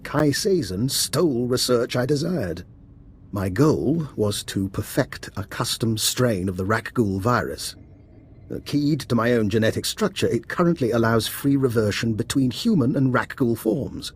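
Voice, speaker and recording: Calm sinister doctor, slightly husky, measured, formal, understated, game character. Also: male voice, medium pitch. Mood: measured, persuasive. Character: eerily calm, politely sinister male doctor and covert scientist. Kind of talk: video game voice acting.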